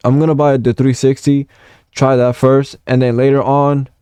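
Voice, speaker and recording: Young male vlogger, 19, from Puerto Rico; warm, relaxed delivery, casual and profane, dry close recording. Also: mid-range voice, moderate pace, clear, resonant tone, dry recording. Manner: rambling, swears a lot, many fillers. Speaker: gaming content creator. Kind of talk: casual storytelling vlog.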